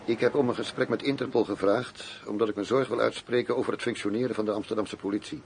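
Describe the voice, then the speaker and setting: Radio play: world-weary undercover agent, dry and wry, gravelly, faint noise behind. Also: male voice, on the low side, steady tone, fast. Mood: measured, concerned. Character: male undercover agent posing as a big gangster boss. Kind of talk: radio drama dialogue.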